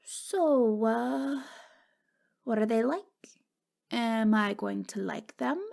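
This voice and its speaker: A woman playing a wistful, wounded ghost girl in an intimate ASMR roleplay, speaking in a halting, fragile, stammering way. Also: fairly high pitch, gravelly, darkish tone, heavily acted. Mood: curious, wary. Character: wistful, wounded ghost girl, long dead since wartime.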